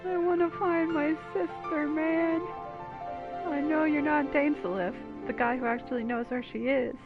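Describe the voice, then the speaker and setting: High-voiced, sarcastic female gamer. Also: moderate pace, narrow, tinny audio, over music. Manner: blunt, sarcastic. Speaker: gamer reacting to a game. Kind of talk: casual game commentary.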